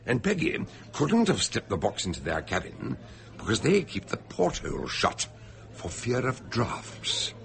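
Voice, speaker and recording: An actor playing a polite, sensible doctor who tells a shipboard mystery in a measured, hesitant way, radio drama style. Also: male voice, lowish voice, huge pitch swings, vocal fry, a bit bright, exaggerated voice. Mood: reasoning, exasperated. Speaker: narrator and character in a radio mystery. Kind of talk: radio drama storytelling and dialogue.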